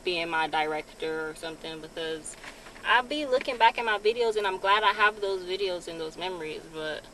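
A young woman vlogging from a parked car in a relaxed, casual way, with lots of ums. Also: on the low side, normal speed, poor audio. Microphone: phone camera on dashboard. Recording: car with clear background noise. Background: vehicle ambience.

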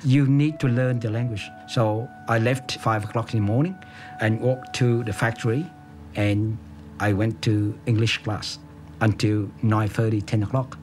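A middle-aged man from Vietnam recalling his escape in a documentary interview, speaking in short, halting sentences. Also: fairly low pitch, fairly even intonation, a little mellow, faint music behind. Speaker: interviewee, refugee. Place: office room, indoors.

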